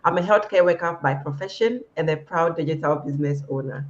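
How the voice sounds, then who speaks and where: A woman's voice: deep voice, brisk, dull audio. A woman, speaking in an upbeat and proud way as she introduces herself as a guest on an online panel. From Ghana. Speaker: guest business owner.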